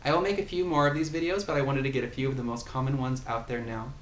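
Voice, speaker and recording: A man's voice: mid-range voice, steady tone, fast. A man giving a casual language lesson, patiently explaining and repeating example phrases. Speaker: language tutor. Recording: echoey room, faint ambient noise.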